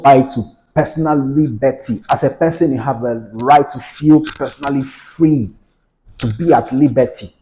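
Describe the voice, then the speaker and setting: A man's voice: medium pitch, mellow, poor audio. A man giving a patient, explanatory lesson on legal rights, repeating his points with everyday examples. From Ghana. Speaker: legal educator. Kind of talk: explanatory lecture to camera.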